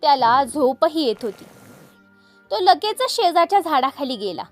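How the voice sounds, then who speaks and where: A woman narrating a scripted fable for children, expressive and speaking directly to her young listeners. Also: high voice, normal speed, soft background music. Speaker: children's storyteller.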